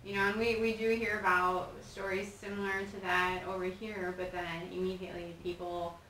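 A woman's voice: on the low side, flat delivery, bright, forceful tone. A young woman hosting a casual TV interview in a studio, chatty and playful, Polish her first language. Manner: chatty, playful, quick to laugh. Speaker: talk show host. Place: TV studio set. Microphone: desk mic from a distance. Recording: roomy studio sound, mic at a distance, faint hiss.

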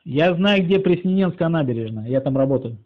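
Man, slightly high voice, sarcastic and teasing, slow drawl, muffled lo-fi recording. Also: animated. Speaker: channel author pranking a phone scammer. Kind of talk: casual mocking phone chat.

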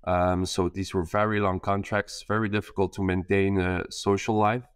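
A man's voice: deep voice, flat delivery, normal speed, clean tone, no room sound, studio quality. A man in his thirties from Belgium, a startup founder speaking as a guest on a video call, hesitant with many fillers and restarts. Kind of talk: casual interview on a video call. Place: bright attic room with skylight.

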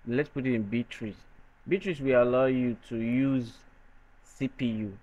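A man presenting a software tutorial in a halting way, often repeating his phrases. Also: medium pitch, steady tone. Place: noisy room. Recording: dull lo-fi microphone sound.

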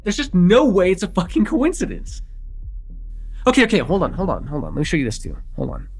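A young man playing an excitable vampire in an immersive roleplay, casual and halting, insistent and teasing as he repeats himself. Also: sits high, husky, soft background music, full character voice. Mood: agitated, insistent. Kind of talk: immersive ASMR roleplay acting.

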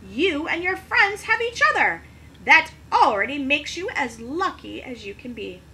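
A woman's voice: high voice, big pitch swings, unhurried, sharp tone, slight background noise, pitched above the performer's own voice, heavily acted. A woman voicing a crafty, teasing little male leprechaun from a storybook, in a sing-song, taunting manner. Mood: earnest.

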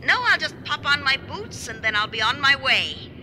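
A woman's voice: high voice, big pitch swings, moderate pace, sharp tone, faint music behind, exaggerated voice, poor audio. Eccentric old woman, wildly swooping voice. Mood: breezy, airy. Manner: whimsical, chatty, exclamatory. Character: eccentric, cheerful old woman, mysterious otherworldly wanderer. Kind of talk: audio drama acting.

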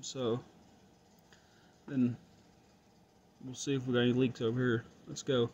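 A man's voice: medium pitch, flat delivery, unhurried, dull audio, heavy background noise. A man thinking aloud as he tests an engine at his garage workbench, casual and a bit frustrated. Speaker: home mechanic vlogger. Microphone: phone camera held close.

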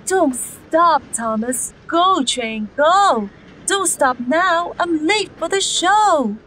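A woman voicing a hurried lady judge from a children's story, urging and exclamatory. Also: extremely high pitch, lively intonation, fairly bright tone, slight background noise, pitched above the performer's own voice, heavily acted, low-quality recording. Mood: urgent.

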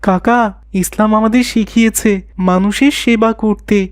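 A woman's voice: medium pitch, steady tone, normal speed, clean tone, no echo, clean studio sound, lightly acted. A woman voicing a polite, earnest young boy who seeks peace in a moral cartoon. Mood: gentle, sincere.

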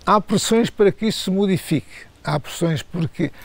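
A man's voice: sits high, moderate pace, gravelly, no echo. An older man, answering interview questions hesitantly, with frequent restarts and repeated words.

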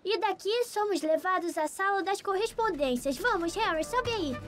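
A very high, small, girlish cartoon letter with a Brazilian accent. The character is excitable, sweet and a little nervous, over clear background music. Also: normal speed. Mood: eager. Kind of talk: children's cartoon dubbing. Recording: music behind the voice.

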